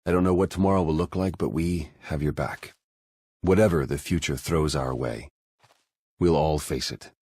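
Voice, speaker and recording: An actor playing a reckless, lovesick male spy in a game cutscene, earnest and halting. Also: darkish tone, no room sound, full character voice. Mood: hopeful, devoted. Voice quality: low, husky voice. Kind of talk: video game cutscene acting.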